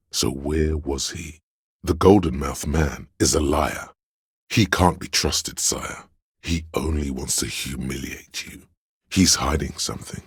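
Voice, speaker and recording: Game role: scheming courtly adviser, extremely deep husky bass, grandiloquent and obsequious, close dry sound. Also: male voice, no echo, full character voice. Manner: theatrical, grandiloquent, addressing a liege. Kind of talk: scripted game voice lines, archaic courtly speech.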